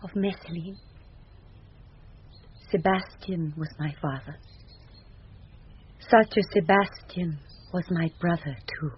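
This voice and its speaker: An actress with a British accent playing an earnest young gentlewoman disguised as a boy in a radio Shakespeare play. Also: lowish voice, slow pace, long pauses, slight background noise. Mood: hushed wonder. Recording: muffled old radio broadcast recording.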